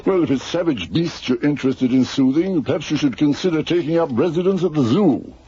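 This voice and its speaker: A stuffy doctor and sidekick in a radio spoof, very husky and dark-toned. His fussy, highish voice bounces around on a muffled recording. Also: expressive, fairly quick. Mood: peeved. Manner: fussy, indignant. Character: stuffy, peevish British doctor, detective's male sidekick.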